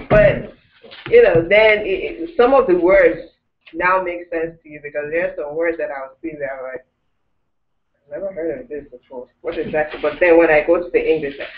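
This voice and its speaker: A man's voice: high-pitched, slight background noise. A man teaching a language class, explaining step by step with many restarts. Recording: echoey room, tinny phone-like sound, mic far off.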